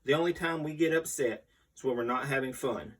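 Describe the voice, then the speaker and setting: A man's voice: mid-range voice, brisk, fairly bright tone, breathy edge. A man reading a magazine article aloud as the host, in a scripted storytelling read. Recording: distant microphone.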